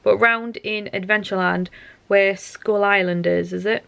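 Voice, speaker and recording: A woman's voice: medium pitch, fairly even intonation, moderate pace, creaky, a bit bright, no echo. A young woman, tired and losing her voice, rambling chattily to camera at home at night. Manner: rambling, chatty, self-interrupting. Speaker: vlogger. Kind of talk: casual chatty monologue to camera.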